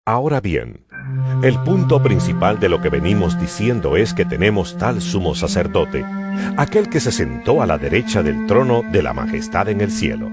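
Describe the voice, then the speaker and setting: Deep guy narrating an audio bible, super animated n emphatic, music under it. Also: moderate pace. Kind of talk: dramatized scripture narration.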